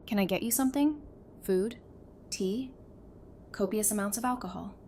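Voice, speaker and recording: A woman acts out a caring young survivor who teases gently. Her voice is medium in pitch and natural, as in an audio drama, with faint ambient noise behind it. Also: normal speed, close to an everyday voice. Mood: gentle, tired. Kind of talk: fan audio drama acting.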